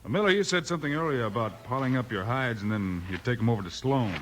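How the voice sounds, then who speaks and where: A man playing a calm, firm, plain-spoken frontier lawman hero in a 1950s radio western. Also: mid-range voice, natural voice. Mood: calm, businesslike. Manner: measured, cool, plain-spoken. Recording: noisy 1950s radio recording.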